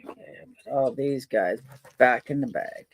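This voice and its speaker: A middle-aged man with a soft voice, chatting casually while he unpacks and crafts. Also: mid-range voice, measured pace, slight background noise. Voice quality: soft-spoken. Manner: rambling, chatty, many fillers and restarts. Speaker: vlogger unboxing and crafting.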